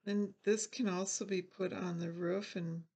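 A woman's voice: lowish voice, little pitch movement, a little slow, dark tone, breathy edge. An older woman hosting a casual crafting video, thinking aloud and poking fun at herself as she works at a table. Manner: chatty, self-deprecating, thinking aloud while working. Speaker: craft channel host. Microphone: fixed camera over a craft table.

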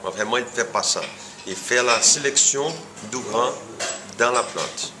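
A man in his forties with a Brazilian accent, searching for words and repeating them as he explains things in an informal interview. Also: mid-range voice. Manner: halting, word-searching non-native French with repeated words. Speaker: coffee farm owner being interviewed. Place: indoor room, seated before camera. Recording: clearly noisy room ambience.

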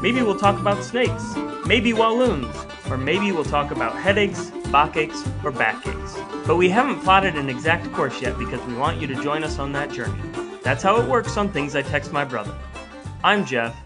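A middle-aged American man hosting a podcast, speaking in a scripted, narrating style. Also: fairly high pitch. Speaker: podcast host.

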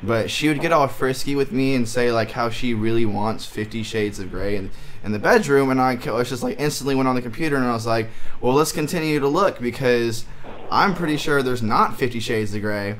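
Young male prank caller, mid-pitched, naive and hesitant delivery, audible background noise. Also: a little fast, a bit bright. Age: 20s. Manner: feigned naive, puzzled, hesitant. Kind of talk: deadpan prank phone call. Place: small indoor studio room.

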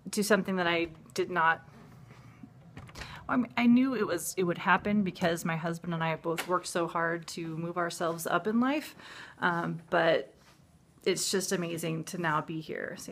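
Vlogger, American woman, 30s. Slightly low voice, plenty of vocal fry, casual and reflective, unscripted delivery. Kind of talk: casual personal vlog update. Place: indoors at home, living room. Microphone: handheld phone at arm's length.

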